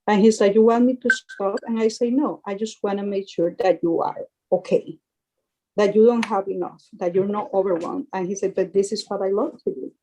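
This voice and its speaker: A woman's voice: medium pitch, moderate pace, darkish tone, slight background noise, poor audio. A woman from Rica, speaking earnestly as a parent of young actors on a panel, often repeating words as she searches for them. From Costa Rica. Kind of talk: panel discussion.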